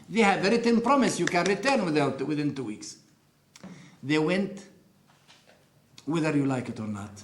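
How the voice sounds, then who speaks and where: A man in his sixties with an Arabic accent, giving earnest, deliberate personal testimony in an interview. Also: a little high, reverberant. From Upper Galilee, Israel. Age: 60s. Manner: earnest, deliberate storytelling with emphatic pauses.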